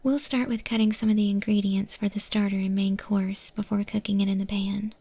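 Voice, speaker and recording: A woman playing a patient monster woman who mentors a newcomer in a restaurant kitchen, in a hushed, breathy ASMR roleplay whisper. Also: mid-range voice, little pitch movement. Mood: calm, instructive. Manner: slow, hushed, gently instructive.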